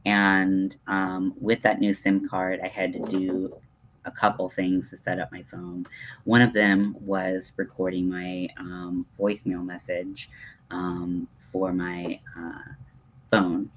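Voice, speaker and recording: Slow-talking man with a low voice. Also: completely flat delivery, a little hiss or hum, poor audio. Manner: hesitant, digressive, self-deprecating. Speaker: livestream host. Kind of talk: casual rambling livestream chat.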